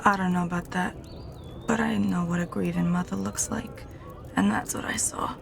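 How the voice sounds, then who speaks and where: A woman's voice: lowish voice, fairly even intonation, normal speed, gravelly, background noise. A woman playing a foul-mouthed, tough, injured fighter with a crude, sardonic, folksy manner in an audio drama. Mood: grim.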